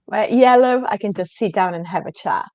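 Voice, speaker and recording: A woman guest whose first language is Portuguese speaks earnestly and with emphasis, often agreeing firmly. Her pitch sits in a middle range. The sound is dry, with no room echo, over a video call. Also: normal speed, a little mellow, highs cut off. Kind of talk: casual interview answers.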